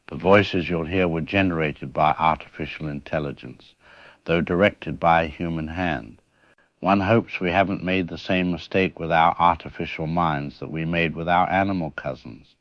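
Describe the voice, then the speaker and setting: Deep, wry synthetic male storyteller. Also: dry recording, muffled recording. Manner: wry, erudite, measured. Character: wry, erudite male host with ominous irony. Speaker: narrator introducing an audio drama. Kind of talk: scripted narration, theatrical prologue. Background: music.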